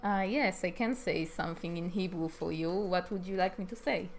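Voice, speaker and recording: A woman's voice: fairly low pitch, moderate pace, slight background noise. A young woman with an Israeli accent chatting casually on her drawing live stream, rambling with frequent ums while answering viewers. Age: young adult.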